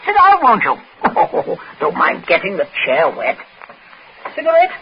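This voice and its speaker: Casting: smooth, menacing father in a radio thriller, lively swooping delivery, muffled vintage recording. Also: high-pitched, a little slow, slight background noise, exaggerated voice. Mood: falsely genial. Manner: smooth, commanding, genteel. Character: cold, controlling English father coercing at gunpoint, falsely genial. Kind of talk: 1940s radio acting.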